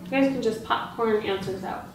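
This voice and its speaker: Higher-voiced woman teacher in an echoey room. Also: moderate pace. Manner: encouraging, echoes back answers. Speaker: teacher rehearsing a read-aloud lesson with colleagues. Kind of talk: practice classroom teaching, prompting with questions. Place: indoor room with group, distant mic. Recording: echoey room, distant mic, faint noise.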